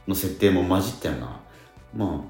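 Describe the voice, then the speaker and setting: A middle-aged man hosting a radio show, chatting casually and telling things in halting pieces. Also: low voice, expressive, darkish tone, reverberant, soft background music. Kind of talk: casual chat. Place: small tatami dressing room at a theatre.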